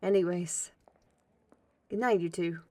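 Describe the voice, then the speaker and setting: A woman's voice: fairly low pitch, measured pace, a little mellow, no room sound, lightly acted. A woman playing a weary, guarded agent with a dry wit in an audio drama. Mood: weary, brooding.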